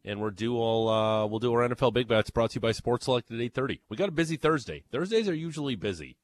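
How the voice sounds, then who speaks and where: A man's voice: medium pitch, expressive, quick pace. A man hosting sports talk radio, in laid-back, joking banter. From grew up bilingual, English and Serbian.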